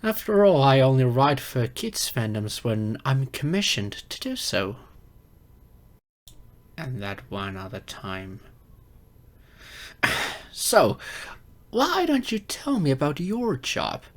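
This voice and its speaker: Guy playing nerdy insecure young fox spirit, asmr roleplay, slow and halting, really expressive swings, flustered but earnest. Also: medium pitch. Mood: bashful, defensive. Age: young adult. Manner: flustered, hesitant, earnest, slightly formal. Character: geeky, insecure young silver fox kitsune on a blind date.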